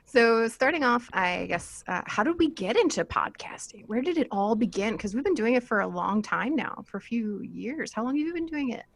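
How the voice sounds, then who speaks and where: Lively, animated woman hosting a panel. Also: a little high, moderate pace, vocal fry, hardly any pauses. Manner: giggly, informal. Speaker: podcast host moderating a panel. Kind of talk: casual panel chat.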